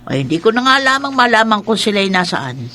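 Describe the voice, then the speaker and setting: A woman's voice: mid-range voice, big pitch swings, brisk, pushed and bright. Feisty, swooping old village grandmother. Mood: reminiscing. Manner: folksy, exclamatory, teasing and fussing. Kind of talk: radio drama acting.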